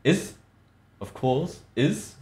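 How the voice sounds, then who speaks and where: A man giving a theatrical scripted narration with measured, affected diction in a put-on accent. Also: fairly high pitch, lively intonation, warm tone. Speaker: streamer reading aloud. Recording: roomy echo. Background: faint music.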